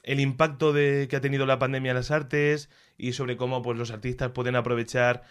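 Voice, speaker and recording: A man with a Castilian Spanish accent chats as a culture commentator. His voice sits at a middle pitch. He is colloquial and expressive, giving his opinions freely. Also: fairly quick, smooth. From Castilla-La Mancha, Spain. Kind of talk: casual conversational review.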